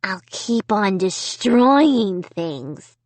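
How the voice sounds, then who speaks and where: A woman voicing a cruel male ventriloquist dummy in a harsh, cold, whispery rasp, speaking slowly and menacingly in an audiobook. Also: mid-range voice, sing-song, darkish tone, dry recording. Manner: slow, drawn-out menacing. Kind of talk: audiobook reading.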